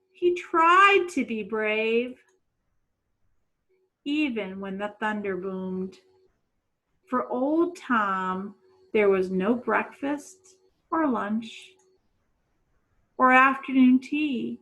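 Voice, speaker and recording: Female children's storybook reader. Bright tone, unhurried, dramatic, deliberate delivery. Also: fairly high pitch, low-quality recording. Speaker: children's picture-book reader. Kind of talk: expressive storybook read-aloud.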